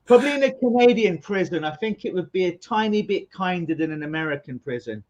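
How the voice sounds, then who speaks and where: A man's voice: high-pitched, expressive, a little mellow, muffled recording. A man from England with a London accent, a hypnotherapist guest talking casually and joking in an interview over a remote call. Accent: London English. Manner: joking, chatty, quick asides.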